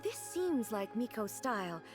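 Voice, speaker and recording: Ei, formal high-voiced ruler. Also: female voice, sing-song. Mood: earnest, reserved. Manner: formal, measured. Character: Ei, solemn, formal, reserved woman ruler. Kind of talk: anime game voice acting. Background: game music.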